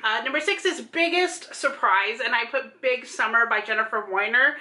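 A woman's voice: fairly high pitch, normal speed, sharp tone. A woman in her thirties chatting casually about books as a vlog co-host, gushing and emphatic. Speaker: book vlogger, co-host. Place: small room at home. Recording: roomy home video sound.

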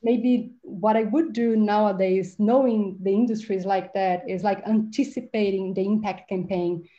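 Woman, 30s, film director, earnest, halting, mellow tone, dull video call audio. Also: mid-range voice, fairly even intonation. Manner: earnest, halting, restarts phrases. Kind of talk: online panel discussion. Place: home video call, virtual library background. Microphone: webcam mic on video call.

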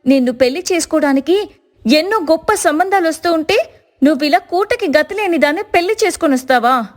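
A woman voicing a spiteful, quarrelsome young sister-in-law in a moral story, with sharp, sarcastic scolding. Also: very high-pitched, lively intonation, a little fast. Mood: angry scorn. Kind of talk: dramatized moral story dialogue.

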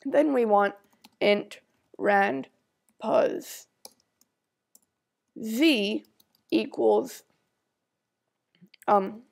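A woman with a stuffy, congested voice, talking at an unhurried pace through a coding tutorial. Her voice has a gravelly edge of fry as she reads out code while typing and thinks aloud between steps. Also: fairly high pitch. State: stuffy nose. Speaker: tutorial maker.

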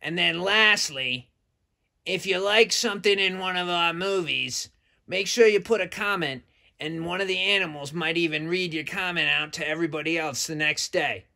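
A man voicing a cocky, blunt talking shark puppet who addresses children in a gravelly, tense voice. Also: fairly high pitch, normal speed, bright, forceful tone, full character voice. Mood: encouraging.

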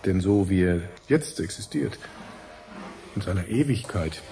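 An actor playing a pensive, fatherly research scientist in a radio drama, speaking gently and reflectively. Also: deep voice, lively intonation, a little slow, creaky, heavily acted. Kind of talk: German radio drama acting.

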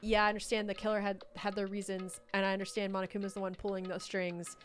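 A woman's voice: mid-range voice, little pitch movement, fast. Woman casually commentating a video game. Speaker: let's play host. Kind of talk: casual game commentary mixed with reading dialogue aloud. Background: game music.

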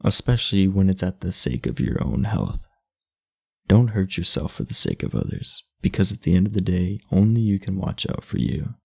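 Casting: man as aloof high school bad boy for ASMR roleplay, very gravelly low voice, blunt yet confiding. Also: animated, normal speed, a little mellow, full character voice. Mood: earnest, confiding. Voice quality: gravelly, husky. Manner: laid-back, blunt, confiding. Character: aloof, muscular, blunt teenage class delinquent, secretly earnest. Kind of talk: intimate ASMR roleplay acting.

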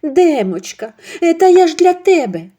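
A woman voicing a pushy, self-pitying older mother-in-law who talks in a high, wheedling tone. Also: very animated, normal speed, mellow. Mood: pleading. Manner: shrill, wheedling, high-pitched.

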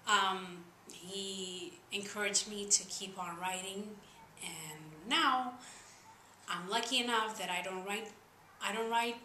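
A young woman with a Spanish accent chats straight to her camera in earnest, slightly uneven English. Her voice is bright and forward. The sound is roomy, with a faint hum behind her in a small room. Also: medium pitch. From Spain. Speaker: singer-songwriter vlogger. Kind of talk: casual talking-to-camera vlog.

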